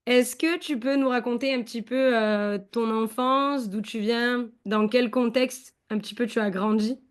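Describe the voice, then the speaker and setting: A woman's voice: fairly high pitch, steady tone, a little slow, smooth. A woman hosting her podcast, asking questions in a friendly way with some hesitant fillers. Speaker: podcast host. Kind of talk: casual interview.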